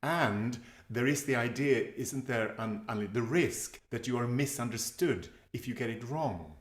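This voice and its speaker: A man's voice: medium pitch, a little slow. A man speaking as a language teacher in a question-and-answer session, thoughtful and hesitant in his phrasing. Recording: roomy, echoey sound.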